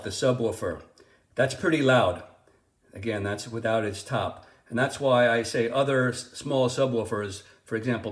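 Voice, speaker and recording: Male product reviewer, mid-range voice, casual and unscripted, halting delivery with restarts, roomy echo on the recording. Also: medium pitch. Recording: roomy, echoing sound.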